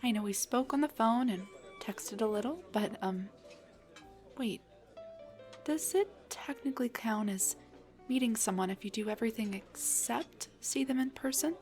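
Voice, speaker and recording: A woman's voice: a little high, moderate pace, darkish tone, faint music behind, lightly acted. A woman playing a shy, nervous dullahan on a blind date in an intimate audio roleplay, speaking in a flustered, hesitant, rambling way. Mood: nervous, awkward. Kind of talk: intimate scripted audio roleplay addressed to the listener.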